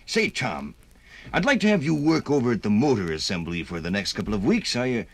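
An actor playing a kindly, hesitant factory superintendent with an American accent in a 1950s radio drama. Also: male voice, medium pitch, sing-song, no echo. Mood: encouraging, hesitant. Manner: hesitant, measured.

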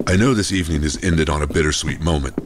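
An actor playing a dignified, gracious clan leader in a fantasy audio drama, with formal, stately speech. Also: male voice, very deep voice, fast talker, slight background noise.

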